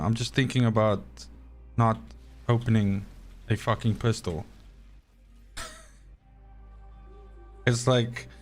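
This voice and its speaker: A man, an Afrikaans speaker, rambling and swearing freely as he streams a game and talks to his chat. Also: medium pitch. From South Africa. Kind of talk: live gameplay stream chat.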